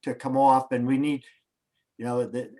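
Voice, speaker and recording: A man speaking over a video call as a host, guiding a guest through setup before a broadcast. His talk is halting, full of restarts and small asides. His pitch is mid-range for a man. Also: flat delivery, warm tone. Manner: hesitant, restarts, coaching tone. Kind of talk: casual pre-show video call chat.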